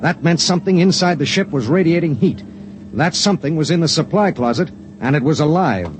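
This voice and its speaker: An actor playing a spaceship pilot in a 1950s radio drama, narrating gravely in the first person. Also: male voice, on the high side, lively intonation, brisk, heavy background noise, lightly acted. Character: spaceship pilot, steady and matter-of-fact. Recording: vintage radio broadcast with music beneath.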